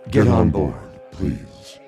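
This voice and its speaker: Extremely deep, courteous alien usher. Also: male voice, animated, warm tone, faint music behind, heavily acted. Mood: insistent. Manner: formal, polite, measured. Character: courteous, towering alien usher, imperturbable and insistent. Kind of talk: radio drama acting.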